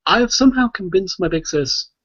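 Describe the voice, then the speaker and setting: A man's voice: high-pitched, moderate pace. A man hosting a podcast, telling rambling, sweary anecdotes in casual chat and often checking in with "right?". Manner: sweary, animated storytelling with frequent "right?" tags. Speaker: podcast host. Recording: muffled, echoey voice-chat recording.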